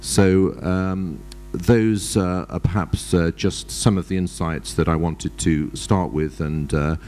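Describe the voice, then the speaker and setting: Low-voiced man giving a halting panel talk. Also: fairly even intonation, dark tone, faint music behind. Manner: measured, many hesitations. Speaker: panelist, ambassador. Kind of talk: formal conference talk.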